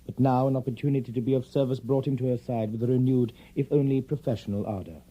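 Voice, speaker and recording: An actor playing a grave Victorian gentleman who narrates an audio drama in a formal, measured way. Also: medium pitch, steady tone, breathy edge, natural voice. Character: grave Victorian gentleman recounting past tragic events. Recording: muffled, music behind.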